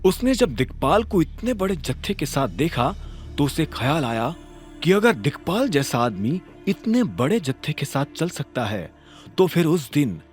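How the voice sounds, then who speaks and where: A man's voice: fairly high pitch, big pitch swings, a little slow. A man narrating a scripted audio drama in a dramatic storytelling style. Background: background music.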